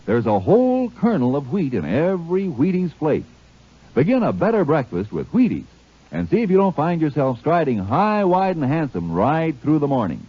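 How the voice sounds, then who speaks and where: A folksy American male pitchman from 1950s radio, highly animated and fairly high in pitch. His husky voice sells hard and punchily over a muffled, dry recording. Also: normal speed. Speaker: radio commercial announcer.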